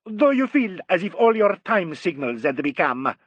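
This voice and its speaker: A man's voice: sits high, sing-song, normal speed, a bit bright, dry recording, muffled recording, voice pushed up, exaggerated voice. A man voicing a worldly Italian singing teacher in an audiobook, with an Italian accent and a courtly, theatrical, dryly mocking manner. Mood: courtly, solicitous. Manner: courtly, theatrical, dry, formal phrasing. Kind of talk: audiobook character dialogue.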